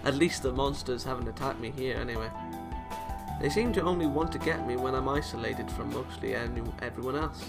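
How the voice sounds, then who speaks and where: A man's voice: mid-range voice, brisk, vocal fry. A man hosting a let's play, reading a visual novel aloud and breaking off into casual, joking asides. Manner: breaks off into joking, teasing remarks on the story. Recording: game music behind voice. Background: background music from the game.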